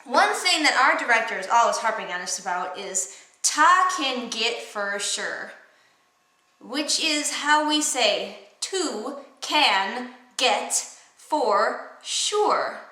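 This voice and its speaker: A young woman with a nasal Wisconsin accent teaching acting, enunciating clearly and animatedly, recorded on a camera mic in an echoey room. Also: fairly high pitch, lively intonation, takes it slowly, sharp tone. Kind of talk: enthusiastic how-to vlog. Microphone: camera mic across the room. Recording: roomy echo from far camera.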